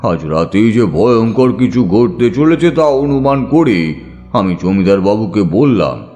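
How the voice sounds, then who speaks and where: A man's voice: lowish voice, very animated, unhurried, mellow, faint music behind. A man narrating a horror audiobook in a suspenseful, theatrical style. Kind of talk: dramatic scripted narration of horror fiction.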